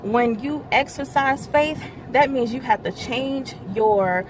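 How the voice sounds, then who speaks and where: A woman who oversees a church's media ministry gives a midweek devotional. She stresses key words, gets louder as she explains scripture, and laughs lightly between remarks. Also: high voice, takes it slowly, noisy background.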